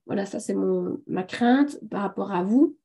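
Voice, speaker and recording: A woman with a hoarse voice from a sore throat, enthusiastically and a little haltingly presenting a live online webinar as host. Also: mid-range voice, expressive, warm tone. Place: online video conference.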